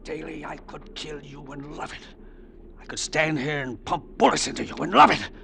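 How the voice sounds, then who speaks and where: An actor playing a ruthless, scornful crime boss in an old-time radio drama, giving orders with cutting authority. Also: male voice, a little high, moderate pace, vocal fry, a bit bright, slight background noise. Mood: angry, scornful.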